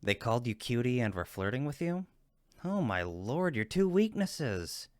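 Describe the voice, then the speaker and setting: A man's voice: mid-range voice, big pitch swings, normal speed, no room sound, studio quality, close to an everyday voice. A man playing a friendly cupid roommate in a comfort roleplay, teasing and supportive, with an emphatic, reassuring manner. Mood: excited teasing. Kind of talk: roleplay audio comfort acting, one-sided conversation.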